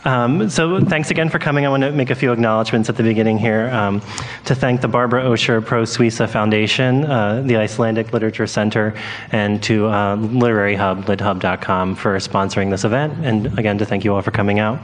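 A man introducing a panel to an audience as moderator, speaking informally and a little haltingly. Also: lowish voice, steady tone. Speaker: panel moderator, bookshop owner. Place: festival panel venue with audience. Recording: noisy live event room.

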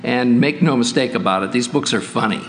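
A man moderating an author panel at a festival, mid-pitched and plain-voiced. He talks with frequent hesitations and restarts, with faint noise behind him. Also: fairly quick. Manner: hesitant, many uh fillers and restarts.

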